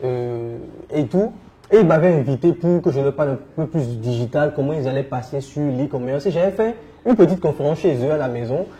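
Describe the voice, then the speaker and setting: A man with a West African French accent, answering conversationally as an interview guest, telling his experience in an animated way. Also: a little high, brisk. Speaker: interview guest, entrepreneur and coach.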